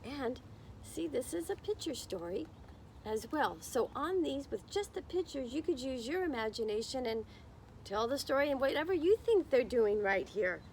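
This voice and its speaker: A woman's voice: sits high, big pitch swings, normal speed, a little mellow, slight background noise, rough recording. A woman reading a picture book aloud to young children, expressively, with encouraging, chatty explanations along the way. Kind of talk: slow children's read-aloud with chatty asides to listeners.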